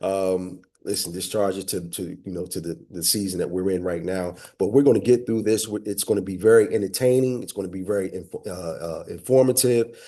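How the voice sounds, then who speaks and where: A man's voice: sits low, steady tone, fairly quick, hardly any pauses, mellow. A man with sinus congestion and a slightly nasal voice, giving an affable, upbeat business webinar presentation over a video call. He leans heavily on filler words.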